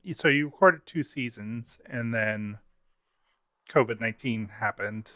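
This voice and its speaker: A man's voice: medium pitch, measured pace, pushed and bright, dry recording, studio quality. A man hosting an interview, asking questions in a hesitant, meandering, conversational way. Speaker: interviewer, host.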